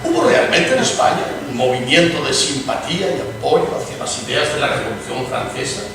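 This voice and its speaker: Man playing a gravelly, courtly statesman, echoey. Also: mid-range voice, lively intonation, brisk. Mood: wry unease. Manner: hesitant, formal, diplomatic. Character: courtly, uneasy British prime minister, smugly patronizing. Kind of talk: dramatized stage reading, radio theatre. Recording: very echoey room, distant, noisy.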